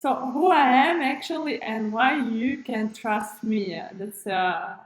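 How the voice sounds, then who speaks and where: A woman's voice: a little high, takes it slowly, echoey room, low-quality recording. A woman in her thirties from Kazakhstan giving a live online presentation through her webcam, talking with many fillers and restarts in phrasing. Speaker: webinar presenter, school founder. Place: room with plain wall, indoors. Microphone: webcam on a computer, video call framing.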